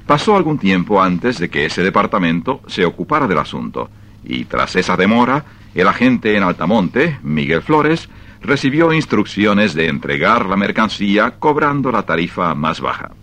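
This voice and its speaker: A man narrating a dramatized short story for radio in an expressive, measured storytelling style. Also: on the low side.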